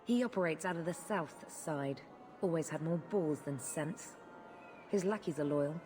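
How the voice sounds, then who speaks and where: A woman playing a deep-voiced, cynical enforcer. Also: normal speed, warm tone, soft background music, close to an everyday voice. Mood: blunt, impatient. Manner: sardonic, blunt, threatening. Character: tough, cynical female criminal enforcer, coolly menacing. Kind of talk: video game cutscene acting.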